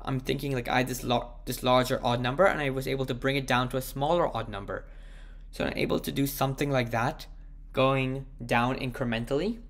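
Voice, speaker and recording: A man with a sore, croaky throat, thinking aloud as he works through a math problem and slowing down as he types the proof. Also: medium pitch. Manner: thinks aloud, slows down while typing. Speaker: math tutor. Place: at a computer, screen recording.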